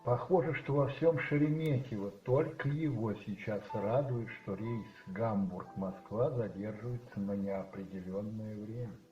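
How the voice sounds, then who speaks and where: A man narrating a radio play as dramatic literary storytelling, musing and rhetorical, with dramatic pauses. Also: lowish voice, warm tone. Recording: roomy, slightly muffled, with music behind.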